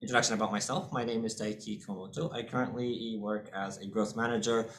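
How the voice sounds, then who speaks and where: A man's voice: lowish voice, fairly even intonation, quick pace, fairly bright tone, a little hiss or hum. A man in his thirties with an American accent, presenting his fund at an online seminar, speaking through a laptop mic with many fillers and repeated words. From born in the UK, raised in US and Hong Kong. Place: indoor room, webcam video call.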